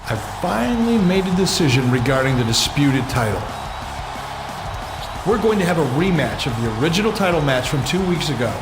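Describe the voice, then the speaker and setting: A man's voice: on the high side, close to an everyday voice. A man playing a stern, commanding general manager making formal rulings in a wrestling video game. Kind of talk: arena announcement in a wrestling game cutscene. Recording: echoey arena microphone, loud music behind.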